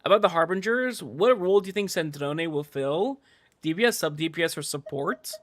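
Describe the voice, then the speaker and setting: A man streaming a video game, chatting casually and excitably in slangy, informal language over game music. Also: a little high, a little fast, dry recording. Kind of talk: casual livestream commentary and chat.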